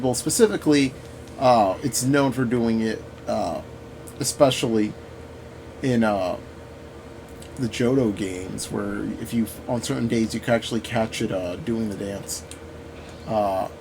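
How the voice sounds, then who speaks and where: A man gives casual commentary over a video game in a mid-pitched voice. He rambles and thinks out loud as he goes. A clear steady background noise runs under his voice. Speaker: gaming video host.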